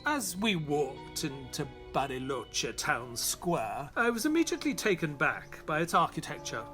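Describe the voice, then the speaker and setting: Earnest gentleman sidekick, lighter-pitched and very expressive, telling a detective radio play over music. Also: measured pace. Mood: earnest, dramatic. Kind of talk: audio drama narration and dialogue.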